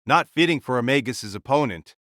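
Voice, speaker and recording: A man voicing a boisterous, carefree warrior king who makes loud, hearty proclamations. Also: medium pitch, animated, brisk, no echo, studio quality, pitched below the performer's own voice, close to an everyday voice. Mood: scornful. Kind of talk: audiobook character voice.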